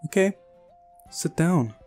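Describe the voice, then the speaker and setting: A man's voice: a little high, expressive, slow, a little mellow, soft background music, pitched above the performer's own voice. A man voicing a feisty, childlike girl in a visual novel, bossy, teasing and pouty.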